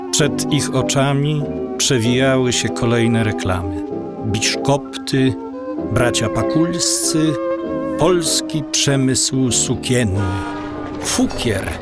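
Casting: male storyteller for an audio drama, mid-range voice, very unhurried, measured and descriptive. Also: medium pitch. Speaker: narrator. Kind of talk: scripted audiobook drama narration.

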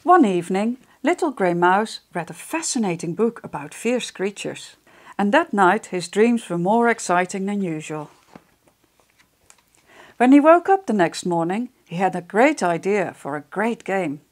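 Middle-aged woman, children's storytelling, unhurried, lively melodic range, deliberate delivery. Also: mid-range voice. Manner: expressive, deliberate, addressing children directly. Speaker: author reading her picture book. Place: small wood-panelled room indoors. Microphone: lapel microphone.

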